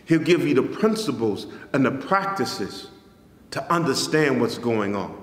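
A pastor in his forties preaching a sermon in a church sanctuary, measured and rising on key phrases, then dropping soft at the ends of lines. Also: medium pitch. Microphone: stand mic in front, camera at a distance. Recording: echoey church hall.

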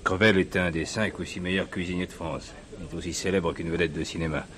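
An actor playing a composed, probing middle-aged police superintendent in a detective radio play, measured and authoritative. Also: male voice, sits low, fairly even intonation, a bit bright.

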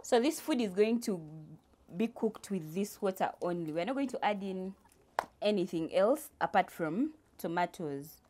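A young woman casually explaining cooking for a vlog, deliberate and often repeating her phrases. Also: mid-range voice, animated, mellow. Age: young adult. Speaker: guest vlog presenter.

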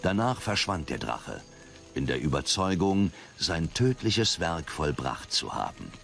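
A man's voice: sits low, big pitch swings, a little slow, gravelly, heavily acted. A man narrating a fantasy audio drama in a dramatic scripted style. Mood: solemn. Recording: loud music behind the voice.

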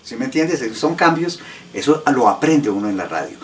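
Older man, lively pitch movement, casual explaining, slightly off-mic. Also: moderate pace. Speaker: radio actor being interviewed. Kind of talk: casual explanatory interview talk. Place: living room. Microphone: handheld microphone. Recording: slightly distant, faint room noise.

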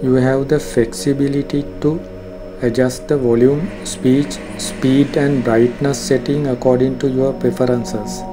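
A man delivers a scripted tutorial voice-over in a measured, formal manner. His pitch is mid-range and stays very even from phrase to phrase. Music plays clearly underneath the instructional narration. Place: voice-over for a screen recording.

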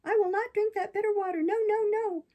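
A woman's voice: extremely high pitch, expressive, brisk, dark tone, pitched above the performer's own voice. A woman voicing a stubborn wooden puppet boy in a storybook, childish and thin, with plaintive protests. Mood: tearful defiance.

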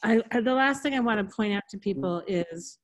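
A woman's voice: mid-range voice, brisk. A woman hosting an online conference session over a video call, talking casually and restarting her sentences. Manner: chatty, apologetic, restarts under tech trouble. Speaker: conference host.

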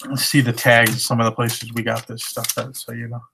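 A man in his thirties casually showing his thrift finds at home, in a relaxed, matter-of-fact way. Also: mid-range voice, brisk, gravelly, background noise. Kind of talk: casual haul vlog. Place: living room at home. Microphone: webcam at desk.

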